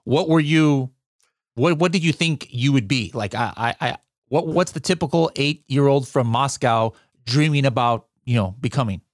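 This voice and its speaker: Upbeat podcast host, dry sound. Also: male voice, mid-range voice, normal speed, dry recording. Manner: upbeat, joking, stumbles over words. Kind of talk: casual podcast interview.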